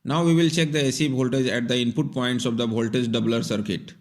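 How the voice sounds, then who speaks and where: Man, medium pitch, scripted tutorial narration, methodical, instructive, plain delivery. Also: steady tone, brisk.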